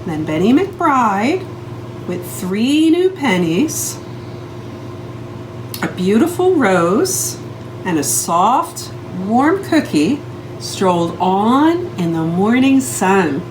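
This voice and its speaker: A woman's voice: medium pitch, big pitch swings, drawn-out words, noisy background. A woman reading a picture book aloud to young children as a librarian, in a sing-song way, prompting them with questions and counting.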